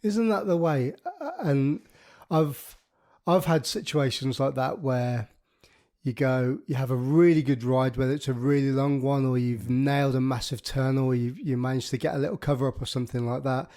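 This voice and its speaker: A man's voice: medium pitch, moderate pace, mellow. A man hosting a surf podcast, asking questions in a matey, chatty way and ending them with tag questions. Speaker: podcast host. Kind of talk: casual interview chat.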